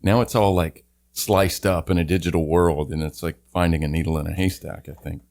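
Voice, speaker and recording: A man's voice: low-pitched, fairly even intonation, a little fast, gravelly, a little mellow. A man co-hosting a podcast interview, laid-back and joking. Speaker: podcast co-host. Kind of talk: casual interview chat.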